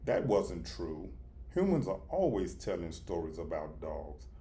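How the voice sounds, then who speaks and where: A man narrating a storybook as a reader, deliberate, in short and sometimes halting phrases. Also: low voice, sing-song, mellow. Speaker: audiobook reader.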